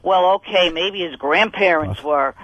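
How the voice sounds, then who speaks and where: A woman's voice: fairly low pitch, unhurried, a bit bright. A woman debating on live radio as an organization's spokesperson, firm and defensive, over a muffled phone-in connection. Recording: dull, band-limited phone-in sound.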